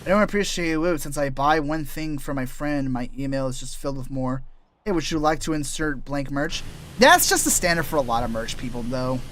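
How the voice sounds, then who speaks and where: A man streaming as a VTuber, chatting casually while he plays, his voice a little raspy and tired from days of voice work, full of loose asides and restarts. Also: mid-range voice, animated, brisk, fairly bright tone, slight background noise. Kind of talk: casual rambling while gaming.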